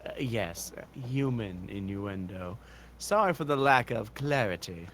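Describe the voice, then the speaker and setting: A man acts out a dopey, self-serious internet troll in a comic reading. The delivery is slow, pompous and halting, with a bright edge and some background noise. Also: lowish voice, lively intonation, pitched below the performer's own voice. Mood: flustered. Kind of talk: comic acted chat-log reading.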